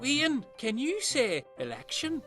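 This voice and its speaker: A performer voicing a fussy, excitable boss with a shrill, theatrical, drawn-out delivery in a comedy audio sketch. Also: soft background music, full character voice. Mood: coaxing.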